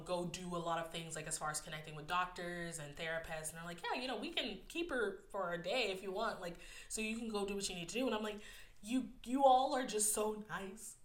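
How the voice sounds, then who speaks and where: Tearful young woman, bright nasal voice. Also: fairly low pitch, fairly quick, echoey room. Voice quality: a bit nasal. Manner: candid, emotional, laughs often, fillers like "like" and "um". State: close to tears. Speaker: podcast host. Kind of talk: personal solo podcast talk.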